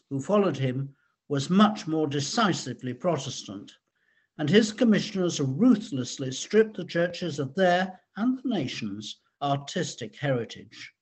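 A man reading a scripted history talk in a measured, explanatory way. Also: on the high side, slightly rough. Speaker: researcher and reader of a local history talk.

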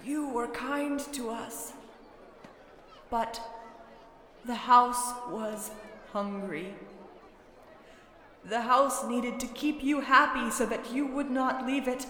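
A tired, sorrowful old man murmurs low and soft, as a woman narrator puts him on. His slow words ring out with a long echo in a roomy space. Faint noise sits under the voice. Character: weary sad old man of a cursed village.

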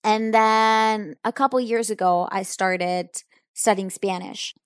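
A young woman speaks English slowly and deliberately, taking her time over each answer. She sounds thoughtful and a little hesitant, filling gaps with soft ums. Her voice is close and dry, with no room sound around it. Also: mid-range voice, fairly even intonation, smooth, dry recording. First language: Italian. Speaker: English learner answering questions. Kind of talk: unscripted answers in an English lesson.

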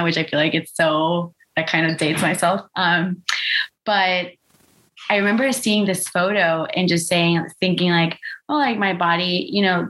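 Young woman from costa rica, lowish voice, halting reflective storytelling, lots of um and like. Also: a bit bright. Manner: halting, many fillers and restarts. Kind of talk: personal story, casual and reflective.

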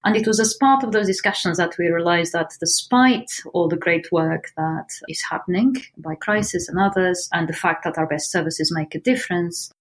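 Woman, Portuguese accent, low voice, earnest, measured interview answers. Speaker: founder of a homelessness research organization.

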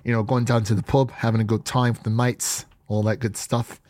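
Man, podcast host, raspy stuffy voice, chatty rambling, dry close sound. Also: medium pitch, little pitch movement, brisk, darkish tone, no room sound. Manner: chatty, rambling with frequent restarts. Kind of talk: casual podcast chat.

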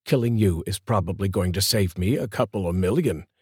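Man reading as a contemptuous audiobook thug, very low gravelly-husky voice, deliberate threats. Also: darkish tone, no echo, studio quality. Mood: sneering threat. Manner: sneering, insulting, slow threatening delivery. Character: menacing middle-aged male thug, sneering and greedy.

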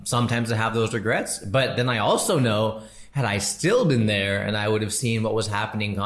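A man's voice: fairly low pitch, fairly bright tone. A man who hosts a podcast and does comedy, giving casual, slangy advice to a listener and laughing at his own jokes. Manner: chatty and slangy, calls listener bro and dude.

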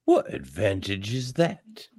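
A man playing a cocky, gruff comic-book tough guy in a live radio theatre read. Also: fairly low pitch, wildly swooping pitch, slow, a little mellow, full character voice. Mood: taunting.

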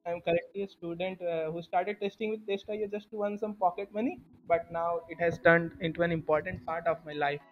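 A young man with an Indian accent chats casually as a podcast guest. His voice is fairly high, and the sound is dull and lo-fi with faint music behind it. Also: fairly even intonation, brisk, hardly any pauses. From eastern India. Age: young adult. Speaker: podcast guest, student tester. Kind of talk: casual interview talk.

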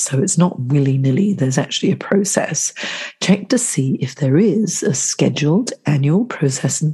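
Woman, middle-aged, podcast host, congested, throaty, low voice, husky, chatty coaching manner. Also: warm tone. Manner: chatty, coaching, asks the listener questions. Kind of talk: solo advice podcast.

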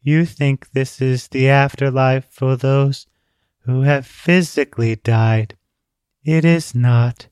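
A man voicing a small, weary girl who speaks in a near-monotone, calm and detached. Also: mid-range voice, clean tone, no echo, voice pushed up, natural voice. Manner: flat, slow, calmly detached.

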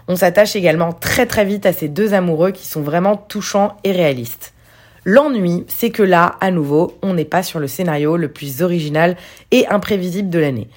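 A young woman, a native French speaker, giving casual, opinionated film reviews with occasional hesitations. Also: lowish voice.

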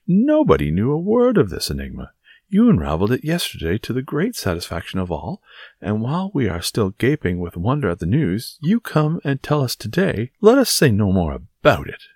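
A man acts out a blustering musketeer from a classic adventure audiobook. His pitch swings widely from low rumbles to high exclamations, and he sounds boastful, theatrical and very pleased with himself. The recording is dry and close. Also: huge pitch swings, a little fast, darkish tone, no room sound. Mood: indignant. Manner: self-sufficient, exclamatory, theatrical. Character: boastful, blustering male musketeer, loud and self-satisfied. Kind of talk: dramatised audiobook reading of a classic adventure novel.

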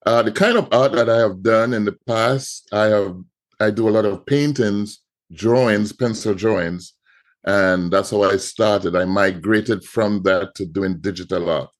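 Man, trinidadian accent, middle-aged, lowish voice, storytelling, repeats words. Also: unhurried. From Trinidad and Tobago. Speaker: guest artist. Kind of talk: podcast interview.

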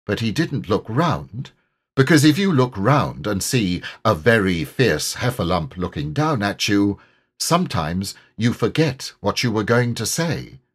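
A man reading a children's story as an audiobook narrator, in an expressive, measured way. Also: lowish voice, breathy edge. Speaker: audiobook reader.

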